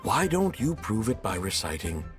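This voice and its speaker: A man's voice: fairly low pitch, normal speed, over music. A man playing an earnest, self-dramatizing storyteller in a video game, theatrical and easily flustered. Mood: boastful, flustered. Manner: theatrical, expressive, self-deprecating. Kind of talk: video game character acting.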